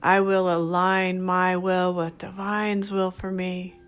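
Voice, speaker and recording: A woman leading a guided meditation in a gentle, soothing, unhurried manner, speaking in short phrases. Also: lowish voice, little pitch movement, clean tone. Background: background music.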